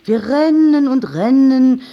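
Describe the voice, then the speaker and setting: A woman's voice: high-pitched, expressive, slow pace, lo-fi sound. An actress in a radio drama playing an eccentric, chatty older lady neighbour with a rambling, dreamy manner. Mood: dreamy, confiding. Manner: rambling, quirky.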